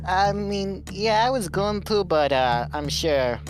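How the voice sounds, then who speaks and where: A woman's voice: medium pitch, lively intonation, slow. A woman chatting casually as a player in a tabletop game, with music in the background. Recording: loud music behind the voice. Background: loud background music.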